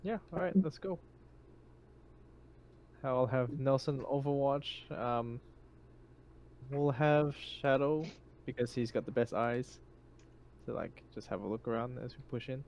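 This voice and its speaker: A man's voice: mid-range voice, unhurried, long pauses, a little mellow. A man playing a tabletop game, giving casual but decisive tactical directions.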